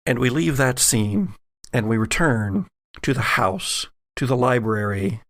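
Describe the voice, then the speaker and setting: A man running a tabletop role-playing game as host, narrating deliberately and dramatically in short phrases. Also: mid-range voice, no echo, studio quality. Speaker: game master and podcast host.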